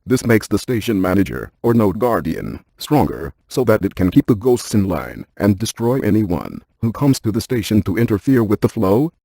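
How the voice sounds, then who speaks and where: A cautious, intellectual ghost-hunting scientist for a video game. The voice is low and mellow with a husky, gravelly grain. He stays deadpan and exact, as if reading out instrument data. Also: moderate pace, hardly any pauses, no room sound, full character voice. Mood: explaining, cautious. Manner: deadpan, precise, technical. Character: brainy, deadpan paranormal scientist man, cautious and precise. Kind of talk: scripted video game character lines.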